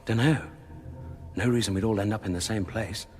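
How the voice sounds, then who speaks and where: An actor playing a brisk, quick-witted space traveller with a dry manner in a sci-fi comedy radio drama. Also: male voice, lowish voice, fairly even intonation, faint music behind, natural voice. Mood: dry, curious. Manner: dry, urgent.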